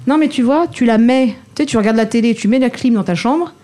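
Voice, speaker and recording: A woman's voice: fairly high pitch, brisk, dark tone. A woman hosting a casual group chat, talkative and teasing, laughing often, with a sore throat. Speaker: host.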